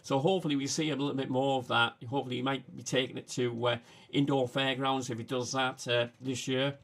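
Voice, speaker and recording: A man with a Manchester accent hosting a casual livestream, talking haltingly with many fillers. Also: medium pitch, level tone, vocal fry, a bit bright. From England. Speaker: livestream host.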